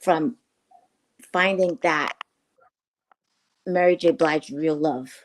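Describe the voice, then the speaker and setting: A woman co-host speaking candidly in a relaxed morning-show chat. Her voice sits fairly low for a woman, and she talks at an easy pace. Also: takes it slowly, a little hiss or hum. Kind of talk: casual radio talk.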